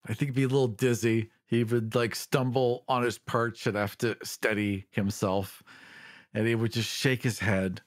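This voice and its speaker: A man's voice: mid-range voice, level tone, normal speed. A man improvising narration of his character's actions and feelings as a player in a tabletop game.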